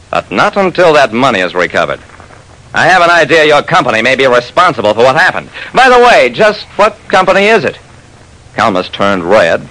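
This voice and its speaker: A man's voice: mid-range voice, bright, forceful tone, poor audio. A man narrating a classic radio detective drama as its lead, in a wry, dramatic first-person storytelling manner.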